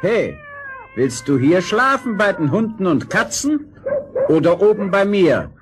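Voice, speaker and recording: Gruff wild hermit, swooping pitch. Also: male voice, sing-song. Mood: gruff demanding. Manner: gruff, barking, drawn-out. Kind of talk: German fairy-tale audio drama acting. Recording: noisy old audio play record.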